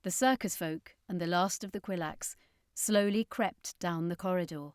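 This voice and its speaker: A woman's voice: fairly low pitch, normal speed, dry recording, clean studio sound. A woman reading a scripted adventure story as an audiobook narrator, in a dramatic storytelling style.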